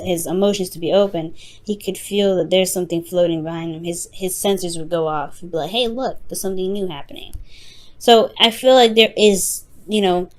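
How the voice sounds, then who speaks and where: A woman reading tarot unscripted, talking straight to the listener in a halting, reassuring way, often repeating and rephrasing herself. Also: medium pitch. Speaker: tarot reader, host.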